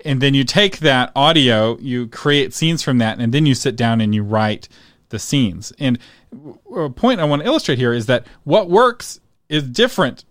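A man's voice: medium pitch, animated, normal speed, dry recording, studio quality. A man hosting an interview, explaining points emphatically and laughing easily. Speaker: interviewer host. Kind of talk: interview with coaching asides.

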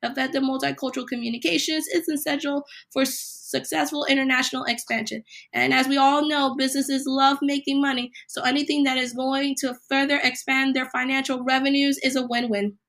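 A woman hosting a solo podcast, a little hoarse from a cold, reading numbered points with emphasis. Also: sits high, level tone, fairly quick, hardly any pauses, fairly bright tone. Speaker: podcast host.

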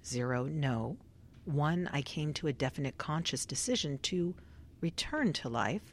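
Low-voiced, controlled woman researcher. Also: animated, slight background noise. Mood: clinical, procedural. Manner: clipped, formal, measured questioning. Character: controlled, probing female government researcher running a clinical survey. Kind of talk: radio drama acting.

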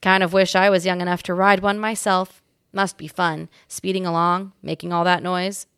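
A woman's voice: on the low side, little pitch movement, normal speed, fairly bright tone, clean tone, no echo, clean studio sound. A woman doing a folksy, kindly old bellboy. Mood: friendly. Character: kindly old man, a hotel bellboy, friendly.

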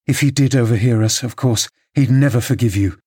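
A man's voice: mid-range voice, brisk, mellow, slightly rough, close to an everyday voice. A man voicing an impassioned, scornful young married woman with an imperious, theatrical manner in an audiobook novel. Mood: urgent, angry. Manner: theatrical, emphatic, exclamatory.

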